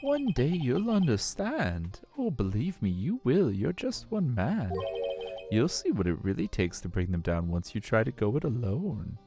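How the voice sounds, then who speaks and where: A man's voice: medium pitch, huge pitch swings, unhurried, warm tone, faint music behind. A man voicing a menacing, taunting police chief villain in a fan dub of a video game. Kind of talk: fan voice acting of a visual novel game.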